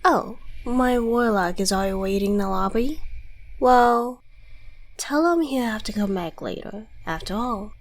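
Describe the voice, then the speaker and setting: A woman's voice: a little high, a little slow. A woman voicing a smug, menacing devil in an ASMR roleplay, with silky, theatrical, condescending politeness. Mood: brisk, dismissive. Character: suave devil dealmaker, courteous, smug and menacing. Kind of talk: ASMR audio roleplay monologue.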